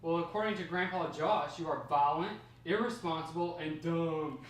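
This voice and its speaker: A man's voice: fairly high pitch, normal speed, close to an everyday voice. A man in a table read playing a young, candid, bored grandson. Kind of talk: amateur screenplay table read. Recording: echoey room, distant mic, faint noise.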